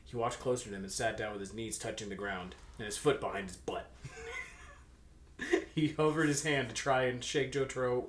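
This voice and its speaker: A man's voice: mid-range voice, moderate pace. A young man reading fan fiction aloud as narrator, with casual joking asides. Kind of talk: comic storybook-style narration with casual banter. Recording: echoey room.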